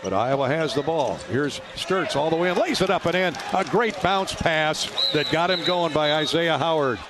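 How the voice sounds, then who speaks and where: A man's voice: fairly high pitch, expressive, moderate pace, background noise. American man calling live radio basketball. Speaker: radio play-by-play announcer. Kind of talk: live basketball play-by-play commentary. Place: basketball arena.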